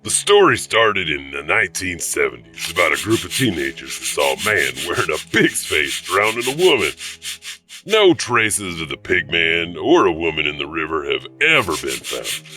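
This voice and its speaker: A man's voice: low-pitched, sing-song, normal speed, bright, forceful tone, recorded from a distance. A man narrating scripted urban legends as a storyteller.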